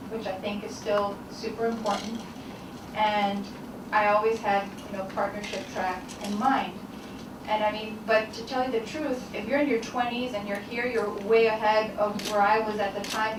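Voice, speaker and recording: A middle-aged woman from Guatemala, a lawyer telling her career story on a panel in an earnest, steady way. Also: mid-range voice. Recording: echoey hall, distant mic, background noise.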